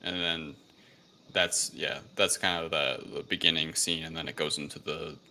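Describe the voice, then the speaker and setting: A man with a deep voice and a crisp, bright tone hosts a casual film discussion. He talks haltingly, now and then stumbling on a word and fixing it. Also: fairly even intonation, slight background noise. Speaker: host of a movie review show. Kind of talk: casual film discussion, reading a plot summary.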